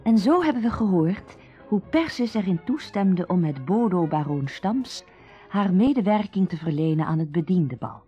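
An actress playing the storyteller of a radio drama, narrating a summer tale. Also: female voice, on the low side, mellow, breathy edge. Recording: dull, roomy old radio recording.

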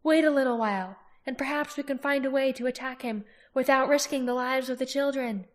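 A woman's voice: high-pitched, a little fast, pitched above the performer's own voice, lightly acted. Woman voicing an urgent young warrior woman. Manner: urgent, pleading. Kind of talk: audiobook character reading.

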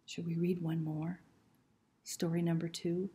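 A woman's voice: lowish voice, level tone, a little slow, dark tone. A woman in her fifties hosting story time, reading aloud and chatting to young children with questions and simple instructions. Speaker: library story time host. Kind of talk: children's picture book read-aloud and chat. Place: small indoor room. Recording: roomy indoor echo.

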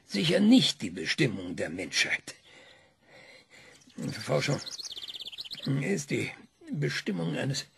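A man's voice: mid-range voice, vocal fry, background noise, exaggerated voice. A man playing an aging, bitter and ironic scientist in a radio drama, speaking in a sardonic tone. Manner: sardonic, lively intonation. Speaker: scientist being interviewed.

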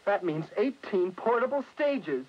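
A man's voice: high-pitched, very animated, unhurried, slightly rough, full character voice. An American man playing an earnest member of a creative team in a staged 1960s industrial film. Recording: noisy, dull vintage film soundtrack.

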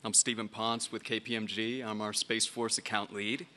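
Man, 30s, event host, formal, reading, lowish pitch, very bright tone, lectern mic. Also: level tone, normal speed. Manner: formal, reading prepared remarks. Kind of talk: scripted introduction. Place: hall at a lectern. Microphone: lectern microphone.

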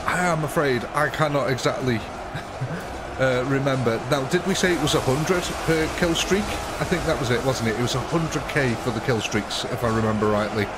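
A man's voice: medium pitch, moderate pace, heavy background noise. Sniffly, cheerful streamer man. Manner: cheerful, chatty, laughing often. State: sniffly with a cold. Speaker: livestream gaming host. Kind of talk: casual live commentary.

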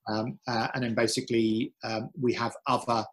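A man's voice: medium pitch, level tone, moderate pace, poor audio. A man answering interview questions on a podcast, thoughtful and a little hesitant, restarting his phrases as he thinks. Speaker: podcast guest, cave and wreck diver.

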